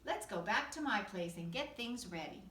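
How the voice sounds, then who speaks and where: A woman's voice: mid-range voice, lively intonation, moderate pace, sharp tone, echoey room, distant mic. A woman as a kindly Santa Claus, expressively lilting. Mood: warm, reassuring. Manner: kindly, reassuring storyteller. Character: kindly, gentle old Santa Claus, male.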